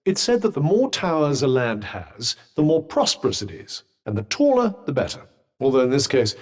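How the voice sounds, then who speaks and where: A man's voice: medium pitch, a little mellow, long reverb tail. A British man voicing a witty, haughty magical talking vambrace in a video game, with pompous, droll and sardonic delivery. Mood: musing, explaining. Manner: pompous, droll, erudite wording. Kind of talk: video game character dialogue.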